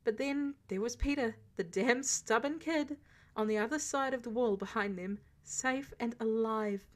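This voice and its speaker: A woman's voice: a little high, a little slow. A woman reading a scripted story as an audiobook narrator, expressively and acting out the dialogue. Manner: acts out dialogue. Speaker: audiobook narrator of fan fiction.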